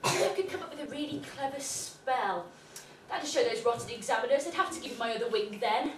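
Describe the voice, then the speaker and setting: A woman's voice: sits high, expressive, fairly quick. A woman playing a hapless, cheeky, grumbling trainee fairy in a stage pantomime, naive and muddled. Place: amateur theatre stage in a hall. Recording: echoey hall, distant microphone, noise.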